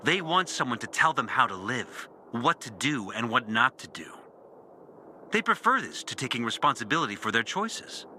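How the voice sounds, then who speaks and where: An actor playing a bitter, disillusioned former priest in a video game, speaking a brooding, deliberate confessional monologue with dramatic pauses. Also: male voice, mid-range voice, pushed and bright, a little hiss or hum. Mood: scornful, fervent. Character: disillusioned former priest, bitter and intense, recounting his lost faith. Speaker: character narrating an audio journal.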